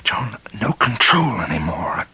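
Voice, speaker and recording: A low, forceful voice with a husky edge: a tormented prison warden in a vintage radio mystery. He speaks haltingly and repeats himself, an anguished husband afraid that something evil controls him. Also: big pitch swings, heavily acted. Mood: desperate, menacing. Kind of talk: old-time radio drama acting. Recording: vintage radio drama recording.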